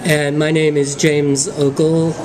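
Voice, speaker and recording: A man in his fifties speaks as a political candidate on a conference call. His delivery is halting and measured, with very little movement in pitch. A clear layer of steady noise sits behind him.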